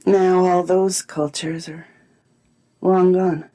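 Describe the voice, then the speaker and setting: An actress playing a thoughtful woman musing on dark local history in a measured, journal-like audio drama monologue. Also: lowish voice, level tone. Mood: pensive. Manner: measured, pensive.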